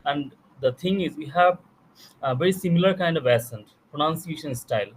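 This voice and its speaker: A man from Bangladesh speaks over a video call. His voice sits a little high, and he talks thoughtfully, pausing often to search for the right phrase. A faint background hiss runs under his voice. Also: low-quality recording. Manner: thoughtful, hesitant, searching for words. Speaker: English practice partner. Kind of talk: casual chat in English practice.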